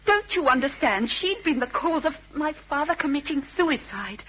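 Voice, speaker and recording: A woman's voice: sits high, lively intonation, normal speed, fairly bright tone. A woman playing a nervous, defensive witness in a classic radio drama, hesitant and emotional. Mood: anxious confession. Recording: old radio drama recording.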